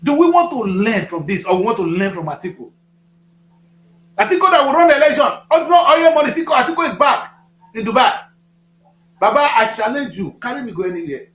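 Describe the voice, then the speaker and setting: A man's voice: very high-pitched, big pitch swings, brisk, pauses often, a bit bright, roomy sound, poor audio. A Nigerian man in his fifties, speaking as a studio guest with a preachy, emphatic manner, repeating phrases for effect. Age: 50s. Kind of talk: passionate political talk.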